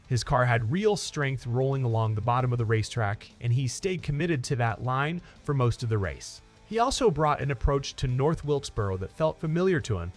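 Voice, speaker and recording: A man narrating scripted motorsport news in an upbeat, emphatic presenter style. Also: medium pitch. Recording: music under the voice. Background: background music.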